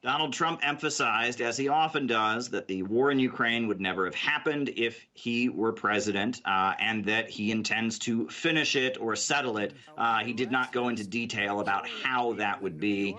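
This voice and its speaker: A man working as a news correspondent, explaining a political debate in a measured way as he answers an anchor's questions. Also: mid-range voice, bright, forceful tone.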